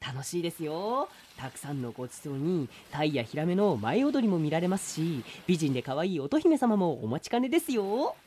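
A woman's voice: low voice, sing-song, fairly quick, hardly any pauses, warm tone, a little hiss or hum, voice pushed down. A woman voicing a lonely, polite but pushy male talking sea turtle in a comedic radio drama. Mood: polite, inviting.

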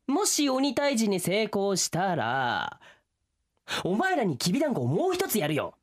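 A man's voice: high-pitched, huge pitch swings, heavily acted. A man playing an exasperated, earnest young folk-tale hero in a comic radio drama, protesting and pleading. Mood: coaxing. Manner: whiny, pleading, quick to protest.

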